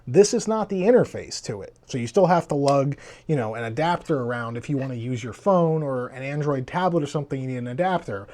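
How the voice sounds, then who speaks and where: A man's voice: medium pitch, animated, brisk, a little mellow. A man giving a casual hands-on gadget review in a conversational, explanatory way. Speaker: tech reviewer.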